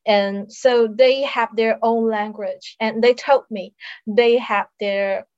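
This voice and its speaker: Brief: Mandarin-native woman panelist, unhurried, muffled call line. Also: fairly high pitch, steady tone, darkish tone. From China. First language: Mandarin Chinese.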